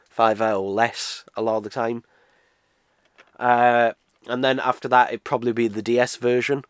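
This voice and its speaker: A man's voice: medium pitch, fairly bright tone, clear, resonant tone, no room sound, studio quality. A British man in his twenties with a cold, talking through a casual game unboxing review in a rambling way, full of uhs and restarts. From United Kingdom. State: nasal from a cold.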